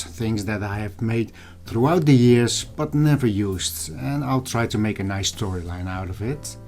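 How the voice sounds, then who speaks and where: A middle-aged man narrating a vlog in a measured, explanatory way, speaking directly to his viewers as the host. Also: medium pitch, darkish tone. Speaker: video channel host. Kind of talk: scripted vlog narration over old photos and footage. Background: music, guitar.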